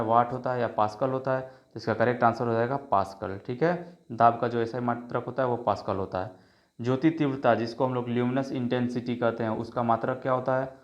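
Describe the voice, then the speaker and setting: Hoarse man teaching exam quiz answers. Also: medium pitch, highs cut off. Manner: reads options then gives the answer, many filler checks. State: sore throat. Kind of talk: quiz-style exam prep lesson.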